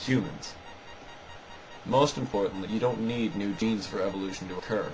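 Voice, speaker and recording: Male commentator, lowish pitch, argumentative and dismissive, scripted rebuttal read with clear music underneath. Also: normal speed, rough recording. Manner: sarcastic, dismissive. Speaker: video narrator rebutting another video.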